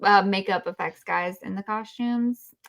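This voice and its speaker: A woman's voice: mid-range voice, a little slow, creaky, a bit bright. A woman co-hosting a casual review chat, blunt and wry with her opinions. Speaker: podcast co-host.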